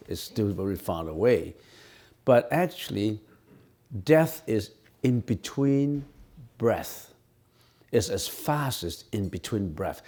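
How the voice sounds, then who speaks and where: A man whose first language is Cantonese, a Buddhist monk answering questions in a dharma talk, halting with frequent restarts and fillers, slowing down to stress his points. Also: fairly low pitch, dark tone. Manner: slow, emphatic teaching tone.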